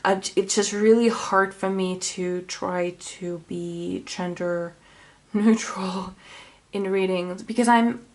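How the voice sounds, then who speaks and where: A woman's voice: medium pitch, fairly even intonation, slow pace, a little mellow. A woman with a Finnish accent, a native Finnish speaker, giving a casual tarot reading to camera. She rambles, corrects herself and keeps apologizing. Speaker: tarot reader.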